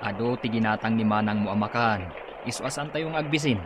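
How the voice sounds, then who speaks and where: A man's voice: lowish voice, quick pace, lightly acted. Man acting chiding Ilocano elder, noisy surroundings. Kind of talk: Ilocano radio comedy-drama acting. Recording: noisy outdoor ambience behind voice.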